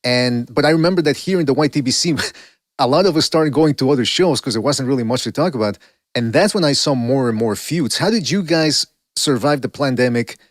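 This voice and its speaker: Male podcast host with a Mexican accent, mid-pitched, rambling and reflective, dry close mic. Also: no room sound. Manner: rambling, reflective, asks long questions. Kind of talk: casual podcast interview.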